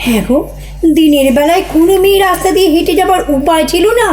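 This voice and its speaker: A woman playing a sharp-tongued, bickering wife in a comedy drama, scolding and mocking her husband with theatrical sarcasm. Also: very high-pitched, quick pace, roomy sound. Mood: mock outraged. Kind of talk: Bengali audio comedy play acting.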